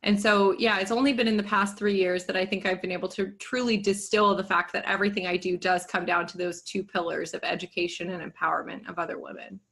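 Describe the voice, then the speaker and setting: A woman's voice: fairly low pitch, flat delivery, fast talker, hardly any pauses, fairly bright tone. A woman in her thirties talking candidly as a guest on a podcast about her work as a yoga and business coach, chatty and self-deprecating. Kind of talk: podcast interview.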